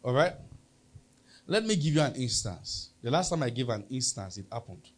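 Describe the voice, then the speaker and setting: A man's voice: mid-range voice, sing-song, normal speed, slightly rough. A man with a Nigerian accent preaching a sermon as pastor, emphatic and leading the congregation in call-and-response.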